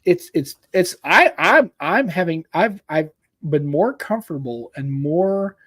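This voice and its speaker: A man in his late forties, a podcast host chatting casually in a rambling, animated way and calling his co-host "dude.". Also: fairly high pitch, a little slow, no echo, studio quality. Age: 40s. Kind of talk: casual chat.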